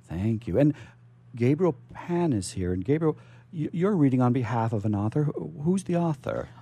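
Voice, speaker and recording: A man's voice: mid-range voice, animated, normal speed, dark tone, slightly rough. A man hosting a radio show, chatting and introducing guests in an effusive, theatrical way. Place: radio studio.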